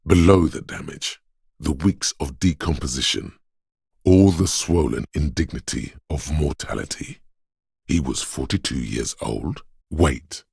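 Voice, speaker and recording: An extremely low, husky game voice as the calculating mind of a detective. It is heavily gravelled and raspy, measuring out each phrase with portentous, theatrical precision. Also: male voice, a little mellow, dry recording, clean studio sound, heavily acted. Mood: grave, theatrical. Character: analytical inner voice of a detective's mind, grandly precise. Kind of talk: dramatic video game voice acting, measured narration.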